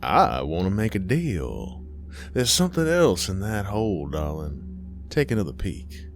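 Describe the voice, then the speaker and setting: Man voicing a deep, sly ancient demoness. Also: huge pitch swings, soft background music, heavily acted. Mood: coaxing. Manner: taunting, theatrical, drawn-out phrasing. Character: sly ancient female demon wearing a mother's face.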